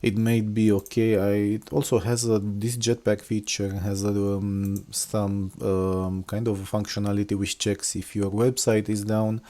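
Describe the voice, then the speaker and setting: A middle-aged software engineer from Romania talking unscripted through a screen walkthrough, with many hesitations and fillers. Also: male voice, on the low side, level tone, unhurried, few pauses. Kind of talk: casual screen-recorded walkthrough.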